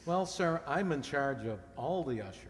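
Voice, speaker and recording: A man's voice: fairly high pitch, animated, normal speed, a little hiss or hum, close to an everyday voice. A man playing an earnest, polite young contestant on an old-time radio comedy quiz, answering literally and deferentially. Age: 20s. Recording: reverberant hall recording.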